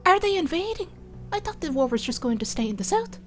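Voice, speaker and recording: A woman's voice: very high-pitched, very animated, soft background music. A woman playing a worried small-town woman in a fantasy audiobook, talking anxiously. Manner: anxious, rapid questioning.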